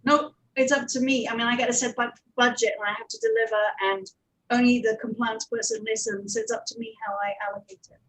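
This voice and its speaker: A woman's voice: fairly high pitch, animated, fast, a bit bright, low-quality recording. A woman talking casually as a producer on a discussion panel, conversational and matter-of-fact. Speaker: radio drama producer, panelist.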